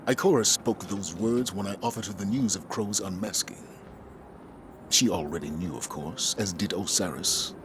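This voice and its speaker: An actor playing a grave military commander, speaking in a measured, authoritative way. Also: male voice, sits low, noisy background, lightly acted.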